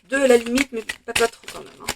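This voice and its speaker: A French woman with a slightly hoarse voice, chatting through a tarot card reading with many hesitations and fillers. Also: a little high, lively intonation, quick pace, very noisy. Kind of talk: casual weekly tarot reading.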